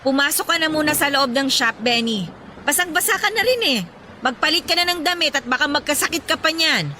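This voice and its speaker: A compassionate Filipino wife in a radio drama. Her voice is high and animated, pleading with exasperation and reproach. A clearly noisy outdoor ambience sits behind her. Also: a little fast. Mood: concerned.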